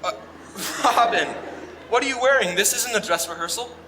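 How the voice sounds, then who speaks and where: An actor playing an ambitious, quick-tempered playwright who speaks with sarcastic, theatrical flair. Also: male voice, high-pitched, lively intonation, noisy background. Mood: exasperated. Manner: sarcastic, theatrical, mock-grand declaiming. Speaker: lead actor in a musical. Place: theater stage.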